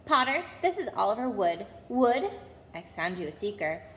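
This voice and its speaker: A woman voicing a stern, furious older woman schoolteacher from a storybook, sharp, clipped and commanding. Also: medium pitch, big pitch swings, moderate pace. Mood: brisk, commanding. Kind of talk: storybook character voice. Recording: echoey hall, faint background noise.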